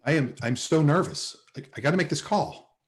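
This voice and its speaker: A man's voice: fairly high pitch, expressive, fairly quick, lightly acted. A man playing a nervous, lovesick, dryly sarcastic office worker in a sitcom table read, with a hesitant, stammering delivery. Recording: video call table read.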